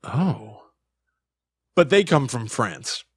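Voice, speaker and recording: A man's voice: medium pitch, big pitch swings, very slow, husky. A man co-hosting a podcast in casual, comedic chat, with an enthusiastic, riffing, jokey manner. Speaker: podcast co-host.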